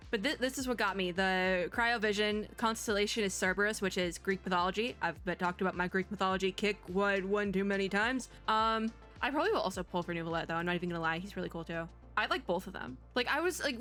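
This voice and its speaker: Woman, higher bright voice, casual reaction streamer, rambling and gushing, music behind. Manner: rambling, gushing, self-interrupting. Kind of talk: casual excited reaction commentary. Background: game trailer music.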